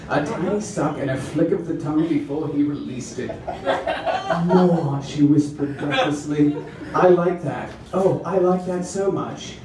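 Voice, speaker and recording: A young man gives a theatrical, deliberate dramatic reading of fiction aloud on a stage, playing it for comedy. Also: a little high, distant mic. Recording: echoey small venue from audience, crowd laughing.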